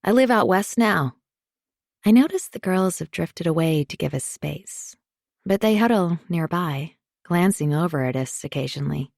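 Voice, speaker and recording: A woman narrating a thriller audiobook in first person, in a measured, confiding way. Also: low voice, creaky, a little mellow, no room sound, studio quality.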